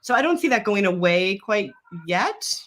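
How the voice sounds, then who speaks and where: A woman's voice: mid-range voice, sing-song, a bit bright. A middle-aged woman with a Minnesotan accent, a guest expert explaining confidently on a video call. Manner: confident, explanatory, quick laughs. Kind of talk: casual video call chat. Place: office room, indoors. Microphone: video call webcam.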